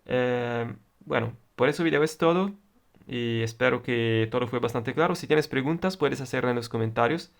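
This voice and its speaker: A man with an Italian accent, a native Italian speaker, explaining electronics in a deliberate, halting way with frequent fillers. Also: mid-range voice, creaky. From Italy. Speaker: electronics tutor. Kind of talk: explaining circuits at a whiteboard.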